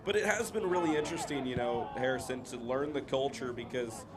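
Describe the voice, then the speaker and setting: A young American man doing brisk, chatty play-by-play commentary on a student football match. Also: medium pitch, rough recording. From United States. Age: young adult. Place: outdoor football ground. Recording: live outdoor broadcast with loud crowd. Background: loud crowd noise.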